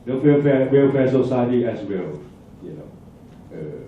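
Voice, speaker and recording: Halting man answering in an echoey hall. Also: mid-range voice, big pitch swings, a little hiss or hum. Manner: hesitant, halting, restarts words, frequent fillers. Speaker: conference presenter. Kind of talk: answering audience questions. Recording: echoey hall, distant dull mic.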